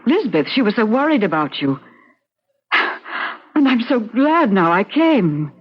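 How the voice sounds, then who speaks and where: A woman's voice: on the high side, very animated, unhurried, slightly rough, full character voice. An actress playing an anxious, devoted woman in a radio drama, coaxing and solicitous. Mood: anxious relief. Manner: solicitous, coaxing, seeking reassurance. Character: anxious, devoted, fussing woman caring for a sick man. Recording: muffled old radio drama recording.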